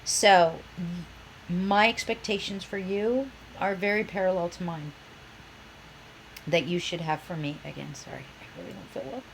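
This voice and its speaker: A woman's voice: mid-range voice, slight background noise, poor audio. Nasal woman professor with a cold. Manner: chatty, enthusiastic, self-described geek. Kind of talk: course introduction talk.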